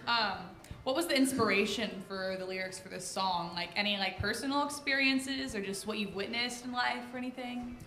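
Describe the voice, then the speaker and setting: A woman in the audience asking a question in a hesitant, halting way. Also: mid-range voice, pushed and bright. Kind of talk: casual question. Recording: roomy venue with music behind.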